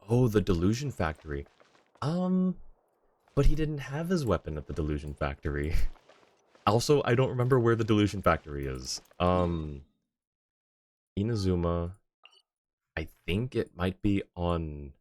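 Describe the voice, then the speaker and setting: A man's voice: low-pitched, expressive, moderate pace, long pauses, a little mellow. A man talking casually on a live gaming stream, rambling and thinking aloud as he goes. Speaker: game livestreamer.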